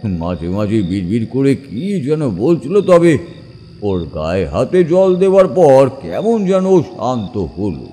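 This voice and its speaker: A man narrates a horror tale very slowly, with lively dramatic swings in his dark, mellow voice. Long reverb surrounds him and music plays underneath. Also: mid-range voice.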